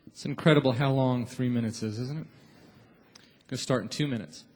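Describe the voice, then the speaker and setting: A man hosting a radio show taping, giving informal, encouraging warm-up announcements from a theater stage. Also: medium pitch, a little fast. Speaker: radio show host and emcee. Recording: echoey theater hall with long reverb.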